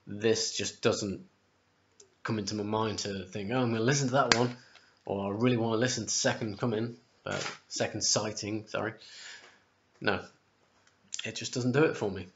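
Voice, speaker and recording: A man's voice: fairly low pitch, a bit bright, slight background noise. A man in his forties with a sore throat, chatting casually and rambling to a webcam in his home kitchen, often correcting himself. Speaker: music vlogger. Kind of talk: casual album ranking vlog. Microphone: webcam at close range. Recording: dull lo-fi webcam audio.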